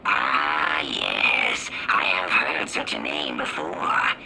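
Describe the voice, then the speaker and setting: A calm alien storyteller in a video game, measured and mysterious. The low voice creaks as it unfolds solemn lore at a slow pace. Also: male voice, heavy background noise, full character voice. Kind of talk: video game character narration.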